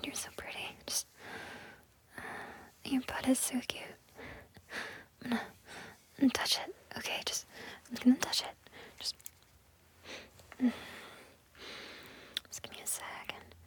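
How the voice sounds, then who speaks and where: A woman in a whispered intimate audio roleplay, talking in a breathy whisper with a slow, coaxing, possessive manner and many soft repetitions. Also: high voice, steady tone, no echo.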